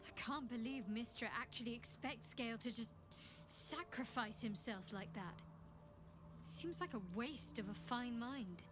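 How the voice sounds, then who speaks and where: A woman playing a soft-hearted, admiring companion in a video game. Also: fairly high pitch, measured pace, natural voice. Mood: troubled. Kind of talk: video game character acting. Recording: loud music behind the voice.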